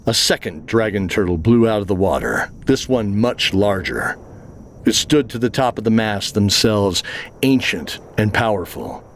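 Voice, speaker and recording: A man's voice: fairly low pitch, animated, measured pace, a little hiss or hum. A man narrating a scripted fantasy adventure story as the narrator of an audio drama.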